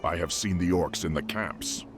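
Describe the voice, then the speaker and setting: A man's voice: low-pitched, moderate pace, music in the background, lightly acted. A man playing a proud, earnest young orc warrior in a fantasy game, terse and dignified. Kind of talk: fantasy game cutscene dialogue.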